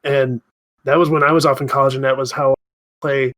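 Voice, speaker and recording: A man's voice: mid-range voice, little pitch movement, brisk, fairly bright tone. A man co-hosting a podcast, chatting informally among friends with frequent "like" in his speech. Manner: informal, says "like" often. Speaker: podcast co-host. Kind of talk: casual chat among friends.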